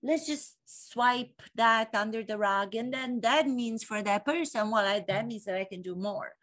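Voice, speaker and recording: A fervent woman in her forties with a Romanian accent talks straight to camera, firing off rhetorical questions and doubling back to repeat her points. Her voice sits a little high for a woman. She speaks as a coach who is lecturing with conviction. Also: unhurried, fairly bright tone. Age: 40s. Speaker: acting coach. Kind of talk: impassioned monologue to camera.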